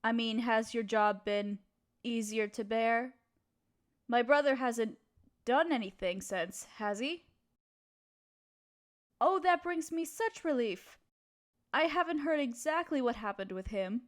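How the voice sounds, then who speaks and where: A woman playing a kind, proper young noble mistress in an intimate audio roleplay, speaking in formal, gently hesitant phrases to her listener. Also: a little high, unhurried, pauses often, natural voice. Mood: caring, relieved.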